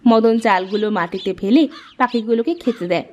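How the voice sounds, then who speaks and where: A woman's voice: a little high, fairly quick, a little mellow, dull audio, a little hiss or hum. A woman reading scripted narration for a children's cartoon story.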